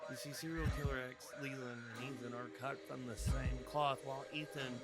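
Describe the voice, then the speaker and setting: A man's voice: medium pitch, steady tone, a little fast. A man narrating a casual game retrospective in a rambling, conversational way, full of asides and self-mocking jokes. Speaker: video essayist. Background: background music.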